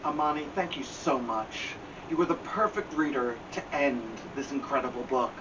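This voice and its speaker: A man's voice: mid-range voice, moderate pace. A man hosting a story show, speaking in a casual presenting style. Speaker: show host. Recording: distant, muffled mic with clear noise.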